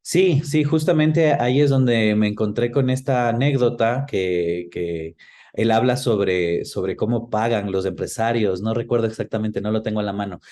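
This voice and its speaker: Ecuadorian man, middle-aged, soft-edged mellow timbre on the low side, reflective guest searching for his words. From Quito, Ecuador. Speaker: interview guest. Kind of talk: reflective conversational reminiscence.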